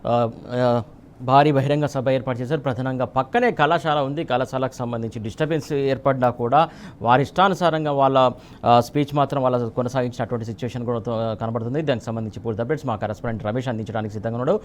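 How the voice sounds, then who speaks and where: A man's voice: mid-range voice, fast, a little hiss or hum. A man anchoring live breaking news, putting insistent questions.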